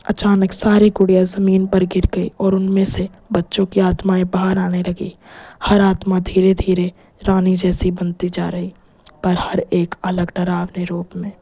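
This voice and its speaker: Woman narrator reading a scary story, mellow warm voice, scripted delivery. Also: lowish voice, completely flat delivery, a little slow.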